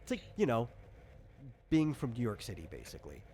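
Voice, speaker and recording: A man's voice: medium pitch, wildly swooping pitch, brisk, a little mellow. A man chatting casually as a game master, explaining things in an informal, rambling way. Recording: clear background noise.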